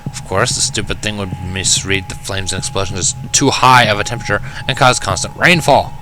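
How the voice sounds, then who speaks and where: A man's voice: on the low side, fairly even intonation, fairly quick, sharp tone, slight background noise. A man reading first-person fiction as a dramatic narration, with a wry, sardonic delivery. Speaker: fan fiction reader. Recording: music behind the voice. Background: music.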